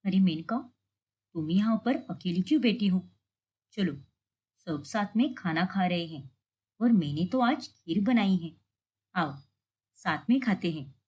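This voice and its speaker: A woman voicing a calm, righteous elder sister-in-law in a cartoon family drama, speaking in a measured, admonishing way. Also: lowish voice, husky, pitched below the performer's own voice. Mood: kindly.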